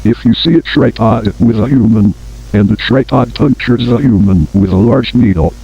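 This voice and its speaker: A man playing a grave announcer on an emergency survival tape in an analog horror drama, formal, measured and deadpan. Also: mid-range voice, creaky, breathy edge. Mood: grave, detached. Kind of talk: scripted instructional tape narration, analog horror. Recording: dull lo-fi old tape, music behind.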